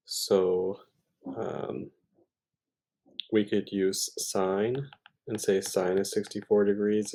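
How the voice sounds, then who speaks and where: A man's voice: fairly low pitch, vocal fry, warm tone, husky. A man tutoring math, talking through a problem step by step in an informal way, thinking aloud with hesitations and self-corrections.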